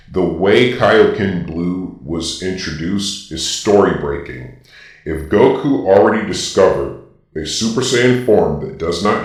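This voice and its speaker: Deep, echoing robot-voiced man. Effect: robot voice filter. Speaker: video host. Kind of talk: opinionated ranking commentary. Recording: very echoey, distant sound.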